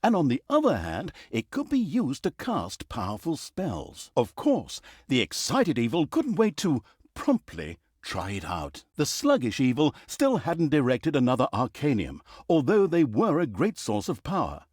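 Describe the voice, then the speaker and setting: A man's voice: medium pitch, huge pitch swings, unhurried, a little mellow, slightly rough, no room sound, clean studio sound, heavily acted. A man playing a sardonic, vain storyteller who narrates a comic fantasy game saga in a wry, mock-grand theatrical manner. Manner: wry, mock-grand, dramatic emphasis and asides.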